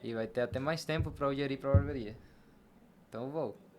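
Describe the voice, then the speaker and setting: A man's voice: medium pitch, a little fast, darkish tone. A man speaking as an interview guest, telling his experiences in a conversational podcast style. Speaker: podcast guest, barber and shop team leader.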